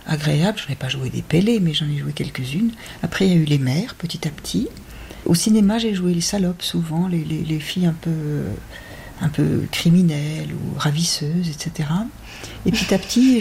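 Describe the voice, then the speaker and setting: A woman's voice: fairly low pitch, vocal fry, a little mellow, slight background noise. A woman in her fifties, an actress, speaking thoughtfully and wryly about her career in an interview. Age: 50s. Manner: thoughtful, measured, wry. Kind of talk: reflective interview talk.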